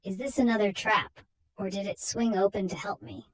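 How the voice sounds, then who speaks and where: A woman's voice: mid-range voice, lively intonation, normal speed, breathy edge, low-quality recording. Woman playing a frightened, determined young heroine. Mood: alarmed.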